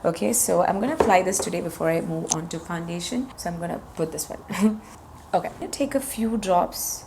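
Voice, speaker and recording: Beauty vlogger, woman, 30s, hoarse; enthusiastic and chatty, slightly low voice with vocal fry. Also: brisk, noisy background. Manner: chatty, enthusiastic, product-by-product narration. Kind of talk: casual makeup review.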